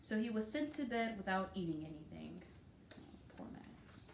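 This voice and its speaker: A woman reads a picture book aloud in a roomy, echoing space with faint background noise. Her mid-pitched delivery is expressive storytelling, broken up by informal comments to the children listening. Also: normal speed, vocal fry. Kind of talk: read-aloud storytelling with casual asides to children.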